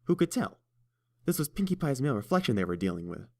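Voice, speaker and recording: A man narrating a fan-fiction storybook in a steady, measured way. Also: mid-range voice. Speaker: audiobook reader.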